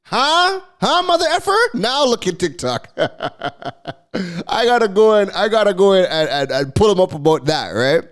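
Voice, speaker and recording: A middle-aged man hosting a podcast, telling a casual story in an animated way, laughing often and restarting his phrases. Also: high-pitched, measured pace. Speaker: podcast host.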